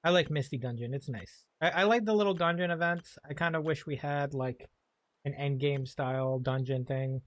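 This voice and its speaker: A man's voice: on the high side, normal speed, no room sound. A man giving casual let's play commentary, thinking aloud in a hesitant, rambling way. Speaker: game streamer.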